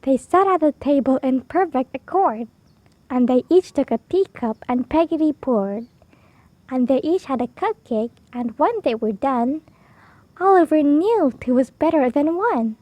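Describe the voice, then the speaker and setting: A woman with a high voice narrates a rhyming picture book for children. Her delivery is expressive and keeps a measured rhythm, and the sound is dry and close. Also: no room sound, dull audio. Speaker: storybook reader for children. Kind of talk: read-aloud rhyming children's storytime narration.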